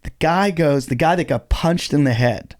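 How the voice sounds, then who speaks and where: A middle-aged man, a stand-up comedian, telling stories in a casual podcast chat, animated and swearing freely. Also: on the high side, normal speed. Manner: animated storytelling, heavy swearing.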